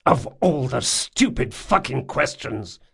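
Gruff exasperated male brawler, acted by a male narrator, gravelly, slow forceful scolding, swinging pitch, fantasy audiobook. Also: expressive, full character voice. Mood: furious. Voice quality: gravelly, growling. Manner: forceful, scolding, drawn-out emphasis. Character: gruff, exasperated, hot-tempered big loyal male friend.

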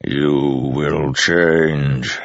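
A man voicing an ancient male storm god in an audiobook, deep, slow and mournful, with a roaring grave tone. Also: fairly even intonation, creaky, no echo, dull audio, exaggerated voice.

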